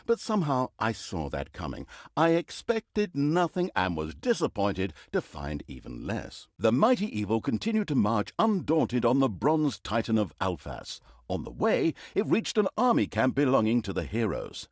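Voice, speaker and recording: A man's voice: medium pitch, huge pitch swings, normal speed, few pauses, no room sound, studio quality. A man playing a sarcastic, droll storybook narrator of a villain's exploits in a fantasy video game, with mock-grandiose, theatrical delivery. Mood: droll storytelling.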